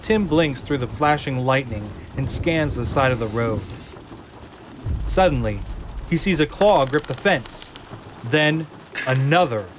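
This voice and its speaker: Male narrator, dramatic, over loud rain. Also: medium pitch, lively intonation, unhurried, noisy background. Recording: loud rain and storm effects behind.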